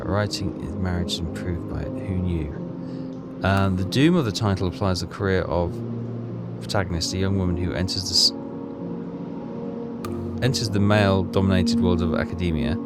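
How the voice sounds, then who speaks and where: A man with a low-pitched voice talks casually through a game over loud music. He reads on-screen text aloud, then mutters and hesitates as he works out the puzzle. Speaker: gameplay commentator.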